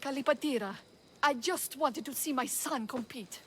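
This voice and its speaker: Pleading accused mother voiced by a woman, high, earnest. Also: moderate pace, a little hiss or hum, close to an everyday voice. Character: desperate accused noble mother.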